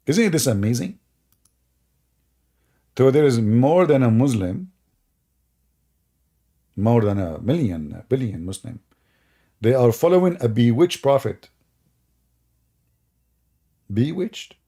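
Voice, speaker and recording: A man's voice: medium pitch, big pitch swings, takes it slowly, long pauses, mellow. A man whose first language is Arabic, hosting sarcastic religious commentary in a mocking, theatrical way. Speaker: host.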